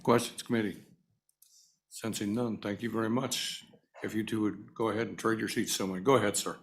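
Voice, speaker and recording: Brisk chairman, distant from the mic. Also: fairly low pitch, steady tone. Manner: brisk procedural. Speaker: committee chairman.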